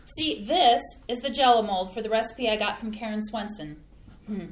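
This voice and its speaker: A woman's voice: a little high, normal speed, close to an everyday voice. An actress playing a chatty, homey Midwestern mother who is proud of her cooking, in a staged reading of a play. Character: folksy chatty Midwestern mom, proud home cook. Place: community theater stage. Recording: echoey theater room.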